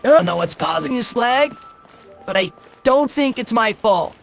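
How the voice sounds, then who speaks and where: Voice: mid-range voice, lively intonation, moderate pace, vocal fry. Grumbling game streamer with music behind. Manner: exasperated, grumbling, blunt. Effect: possible voice changer. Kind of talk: casual livestream gaming commentary.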